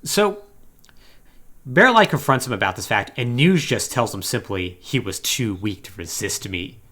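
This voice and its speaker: A man hosting a podcast, casually retelling a video game plot in a slangy, animated, joking way. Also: fairly low pitch, moderate pace. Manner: animated, slangy storytelling with mocking acted-out quotes. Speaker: podcast host.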